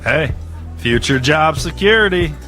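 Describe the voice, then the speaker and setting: A man's voice: fairly high pitch, expressive, measured pace, bright, forceful tone, faint music behind. A man acting in an audio drama as an easygoing, practical camp gardener.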